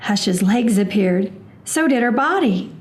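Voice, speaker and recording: A woman reading a children's storybook aloud in a measured, expressive storytelling manner. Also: mid-range voice.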